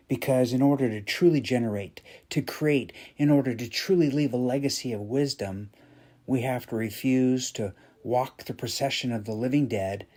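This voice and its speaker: A man hosting a podcast, reading an inspirational story in a dramatic, deliberate and emphatic way. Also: mid-range voice, a little mellow, breathy edge. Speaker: podcast host and story reader.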